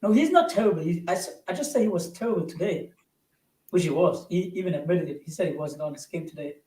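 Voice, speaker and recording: A man chatting casually about football, with quick joking interjections. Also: high voice, animated, warm tone, far from the mic. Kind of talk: casual football fan chat. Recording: echoey room.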